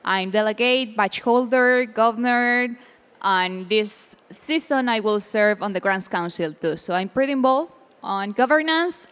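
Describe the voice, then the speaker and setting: A woman's voice: a little high, fairly bright tone, clean tone. A woman telling her own story as a panelist. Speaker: panelist, community co-founder. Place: summit event room. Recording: reverberant hall.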